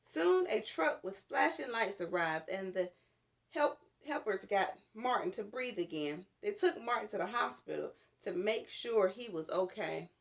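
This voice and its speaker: A woman reading a children's storybook aloud in an expressive, deliberate narrating style. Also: mid-range voice, creaky. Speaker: storytime reader.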